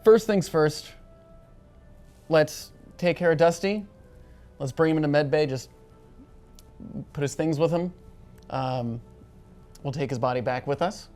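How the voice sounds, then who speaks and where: A woman's voice: low voice, measured pace, pauses often, dark tone. A woman playing a ship's captain in a tabletop role-playing game, speaking decisively and matter-of-factly. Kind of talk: in-character tabletop role-play. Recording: background music.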